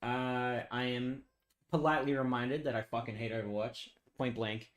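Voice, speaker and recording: A young man with a hoarse, worn voice, chatting loosely to his live audience. He rambles between thoughts, laughs a lot and drops swear words without a second thought. His pitch sits squarely in the middle for a man. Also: steady tone, moderate pace. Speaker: live streamer. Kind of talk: casual live-stream chat with viewers.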